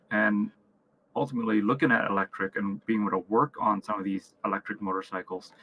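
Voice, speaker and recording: A man speaks as an interview guest and company founder. His voice is slightly low yet bright and forward. He explains things conversationally, in halting phrases with repeated words and frequent little checks for agreement. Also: slight background noise, low-quality recording. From Vietnam and upstate New York.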